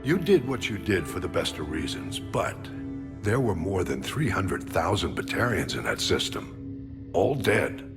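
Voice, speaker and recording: An actor playing a gruff older military admiral in a video game, speaking in a measured, grave, authoritative way. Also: male voice, low-pitched, sing-song, loud music behind the voice, exaggerated voice. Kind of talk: video game dramatic acting.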